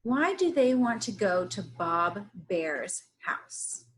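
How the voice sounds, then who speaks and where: A woman teacher giving a read-aloud lesson to young children, pausing to ask them questions in an instructional way. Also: mid-range voice, big pitch swings.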